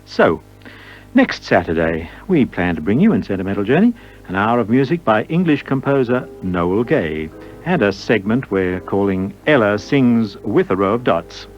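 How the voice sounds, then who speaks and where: A man's voice: fairly low pitch, sing-song, normal speed. A man presenting a radio programme of vintage music in the manner of a show host. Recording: dull lo-fi radio recording, music.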